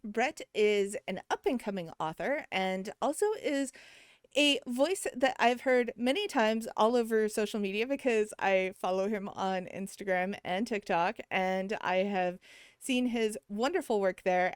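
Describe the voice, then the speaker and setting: A woman's voice: mid-range voice, no echo, studio quality. A woman hosting a casual podcast interview, enthusiastic and bubbly, with fillers like um. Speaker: podcast host.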